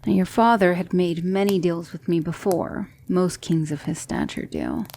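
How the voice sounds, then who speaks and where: Woman acting as gentle female demon tutor, mellow, gravelly edge, whispery, reassuring, close-miked ASMR roleplay. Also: lowish voice, animated, normal speed, a little hiss or hum. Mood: calm, solemn storytelling. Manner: soft-spoken, often whispery, reassuring. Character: gentle demon woman mentor, soothing and patient.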